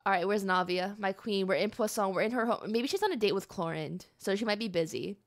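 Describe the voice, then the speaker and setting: A woman's voice: mid-range voice, a little fast. A young woman doing casual live gaming commentary, playful, chatty and emotive, often repeating her words. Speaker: game streamer. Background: game music.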